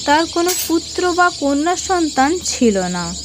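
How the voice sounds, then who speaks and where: A woman's voice: sits high, very animated, unhurried, slight background noise. A woman narrating a scripted horror cartoon story as its storyteller. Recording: music under the narration.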